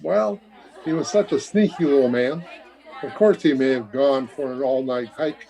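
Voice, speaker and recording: A woman's voice: a bass voice, normal speed, dark tone. A woman playing a gossipy, nosy older hotel guest in an old-fashioned radio drama, chatty and fussy. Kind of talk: 1940s radio drama acting. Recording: faint chatter behind, video-call performance.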